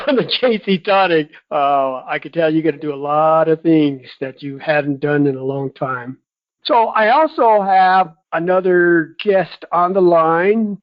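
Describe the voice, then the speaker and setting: A man hosting a conference call, chatting in an upbeat, encouraging, sales-testimonial way over the phone. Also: a little high. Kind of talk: conversational sales testimonial, interviewing a guest. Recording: dull lo-fi conference call audio.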